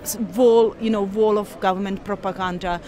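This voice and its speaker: A woman in her forties, originally from Ukraine, speaks English with a Ukrainian accent in an outdoor park interview, earnest and measured with frequent pauses. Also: medium pitch, steady tone, dark tone, a faint crowd. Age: 40s. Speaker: interviewee, scientist. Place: park outdoors, people milling behind.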